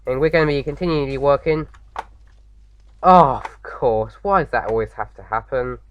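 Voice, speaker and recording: A man with a slight sore throat, slightly croaky, chatting casually as he hosts a game playthrough, rambling with lots of fillers. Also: medium pitch, moderate pace, lo-fi sound, a little hiss or hum. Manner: rambling, many fillers and restarts. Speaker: gaming video host. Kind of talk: casual let's-play commentary.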